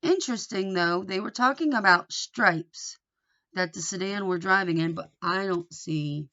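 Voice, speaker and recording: A woman reading a children's book aloud in an expressive storytelling manner. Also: mid-range voice, unhurried, highs cut off. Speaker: storybook reader.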